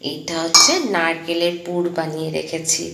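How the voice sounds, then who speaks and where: A woman's voice: low voice, completely flat delivery, normal speed, roomy sound. A woman in her thirties with a West Bengal Bengali accent chats casually to viewers, conversational and mixing in English words. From India. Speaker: home vlogger and mother. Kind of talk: casual vlog chat to viewers. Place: small home rooms and kitchen, indoors. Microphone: phone at arm's length. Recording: clear background noise, handheld phone.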